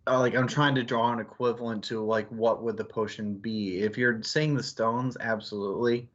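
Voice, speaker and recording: A man's voice: mid-range voice, fairly even intonation, normal speed, a bit bright. Male book club host, casual and analytical, talks around his points; slightly dull stream audio.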